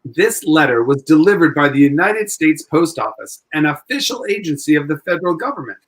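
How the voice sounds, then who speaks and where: Man playing an earnest, higher-pitched defense lawyer. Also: big pitch swings, a little fast, a bit bright. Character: earnest, persistent defense lawyer arguing in court. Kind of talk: 1940s-style live radio play acting.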